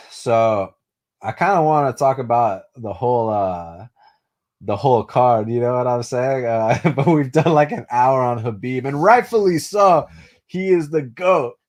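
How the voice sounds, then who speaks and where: A man's voice: mid-range voice, darkish tone. A young man hosting a casual live stream, answering viewer comments in a loose, profane way full of fillers and restarts. He grew up speaking Spanish first and was raised in the United States. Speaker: host.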